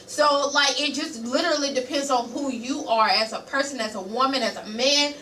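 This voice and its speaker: A woman's voice: high-pitched, moderate pace, sharp tone. A woman in her early twenties co-hosting a podcast, debating casually and emphatically, repeating phrases for force. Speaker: podcast co-host. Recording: roomy, distant mic, faint noise.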